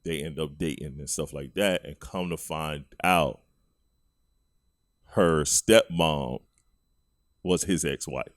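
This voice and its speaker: A very deep-voiced man in his forties hosts a casual podcast in a relaxed drawl, chuckling as he tells stories. The recording is dry and close, with no room sound. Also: slow pace, pauses often, no room sound. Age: 40s. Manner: laid-back, chuckling storyteller. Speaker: podcast co-host. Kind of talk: casual chat.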